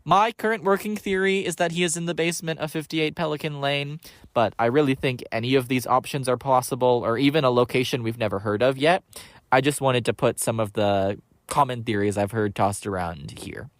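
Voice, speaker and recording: A man's voice: mid-range voice, a little fast. A man with a mid-range voice delivers a prepared listener message. He explains things point by point, and the sound is dry with no room echo.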